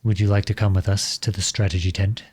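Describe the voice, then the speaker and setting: A man's voice: on the low side, level tone, fast talker, a little mellow, slightly rough. A man playing an impassioned, defiant young prince turned soldier in a scripted audio roleplay, with an earnest, dramatic delivery. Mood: formal, restrained.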